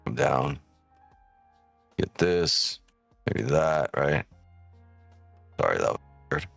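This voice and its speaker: A man's voice: extremely low pitch, big pitch swings, slow, gravelly, fairly bright tone, no room sound, soft background music. A man born in Ukraine hosts a live trading stream, chatting casually and with profanity as he reads chat aloud, often tagging on "right?".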